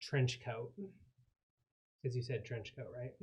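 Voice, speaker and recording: A man's voice: medium pitch, fairly even intonation, takes it slowly, darkish tone. A man playing a tabletop game, speaking casually and checking details with questions.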